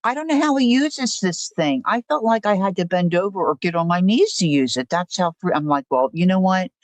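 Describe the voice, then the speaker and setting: A woman's voice: on the low side, animated, a little fast, no room sound. An older woman hosting a livestream, chatting casually and rambling through a story. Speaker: livestream host. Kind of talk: casual chat.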